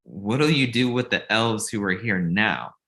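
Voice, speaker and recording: A man's voice: fairly low pitch, expressive, unhurried, bright, forceful tone, full character voice. A man playing an earnest, exasperated male manager in a comedy table read, urgent and conversational. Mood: skeptical.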